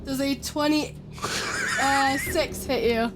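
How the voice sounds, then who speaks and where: Female tabletop player, high voice, casual table talk over clear music. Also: steady tone, takes it slowly, rough recording. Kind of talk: casual game-table chat. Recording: music playing under the voice.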